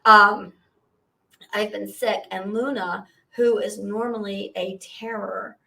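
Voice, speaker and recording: Hoarse, scratchy-voiced woman co-hosting a podcast. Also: mid-range voice, fairly even intonation, slow pace, sharp tone, distant mic. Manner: laughs often, tells chatty stories. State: hoarse from being sick. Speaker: podcast co-host. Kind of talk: casual live podcast chat.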